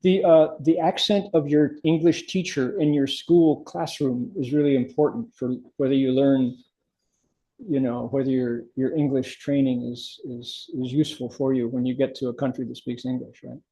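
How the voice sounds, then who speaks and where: A man's voice: mid-range voice, steady tone, moderate pace, warm tone, lo-fi sound. An older man leading a meditation class in casual, chatty discussion, often restarting his sentences and affirming students.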